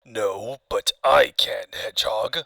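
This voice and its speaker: A man's voice: fairly low pitch, slow pace, vocal fry, sharp tone, no room sound, heavily acted. A man playing a gloating, menacing mad scientist tyrant in a cartoon comic dub. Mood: gloating menace. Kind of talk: comic fandub villain acting.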